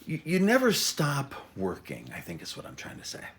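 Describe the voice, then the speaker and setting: A man introducing his own memoir as an author, talking informally and reading dramatically, with halting self-interruptions, wry asides and theatrical emphasis. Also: medium pitch, wildly swooping pitch.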